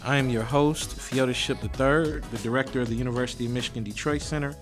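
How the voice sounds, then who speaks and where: A man hosting a podcast, reading a scripted introduction and then asking relaxed interview questions. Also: mid-range voice, quick pace, music in the background. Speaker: podcast host.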